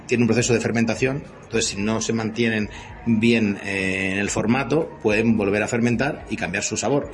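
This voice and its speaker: A man in his fifties from Spain with a Spanish accent, explaining things conversationally as an interview guest in a wordy, hesitant manner. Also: medium pitch. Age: 50s. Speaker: interview guest, company CEO.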